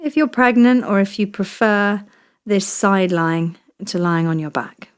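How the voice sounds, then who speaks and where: Woman, yoga nidra teacher, very slow delivery, mid-range pitch, soothing guided meditation, short phrases with long pauses. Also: clear, resonant tone.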